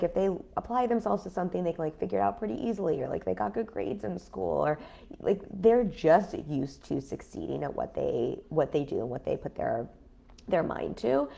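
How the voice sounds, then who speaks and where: Woman, raspy recovering voice, low gravelly tone, chatty livestream coach. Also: dark tone. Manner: chatty, many fillers like "like" and "um". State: raspy voice after illness. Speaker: business coach. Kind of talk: casual livestream talk. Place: home in a city apartment.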